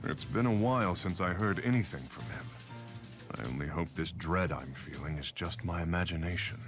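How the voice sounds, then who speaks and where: An actor playing a stern, solemn king in a video game, speaking gravely and formally. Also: low voice, normal speed, music in the background, close to an everyday voice. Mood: grave concern. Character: stern, solemn king living as a gang's big brother. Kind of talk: English video game dub acting.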